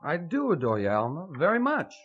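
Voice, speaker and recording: A man's voice: a little high, wildly swooping pitch, a little fast, a bit bright, muffled recording. An actor playing a drunken, foolish, boastful and whiny man with declamatory, repetitive speech in an old radio drama. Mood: maudlin, adoring. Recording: vintage radio drama recording. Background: music.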